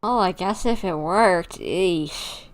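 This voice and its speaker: A woman's voice: fairly low pitch, takes it slowly. A woman livestreaming a game, giving casual commentary and thinking aloud informally as she plays.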